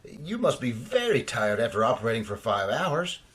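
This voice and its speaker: Eager, excitable lab assistant, played by a man. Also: medium pitch, normal speed. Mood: concerned. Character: eager, deferential male assistant to a scientist. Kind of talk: old-time radio drama acting.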